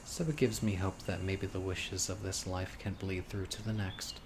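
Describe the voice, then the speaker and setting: A man acting a critically ill, wistful and obsessive young man in an intimate, earnest audio roleplay monologue, musing softly to his date. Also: low-pitched, fairly even intonation, normal speed. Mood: wistful, hopeful. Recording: clear outdoor insect and bird noise. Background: birdsong, crickets and insects of a lakeside.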